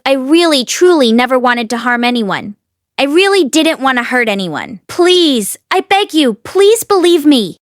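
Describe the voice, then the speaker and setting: A helpless young ghost girl, played by a woman narrator in an audiobook. Her high voice wavers and breaks with sobs as she pleads earnestly in halting, repeated phrases. The sound is close and dry. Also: no echo.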